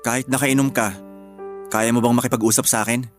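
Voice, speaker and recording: A woman's voice: extremely low pitch, fairly even intonation, a little fast. A woman with a Filipino accent playing an angry, suspicious girlfriend in a radio drama, sarcastic and confrontational. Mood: cold, suspicious. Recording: music under the voice. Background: background music.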